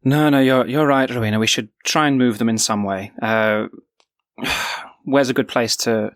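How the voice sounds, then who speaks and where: A fussy, theatrical clothes thief played by a man in a tabletop game. He is affected and fashion-obsessed, with a middling pitch and a dry, close sound. He sighs and speaks like someone deeply particular about finery. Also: steady tone, normal speed, no echo, lightly acted. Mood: approving. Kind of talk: improvised tabletop role-play.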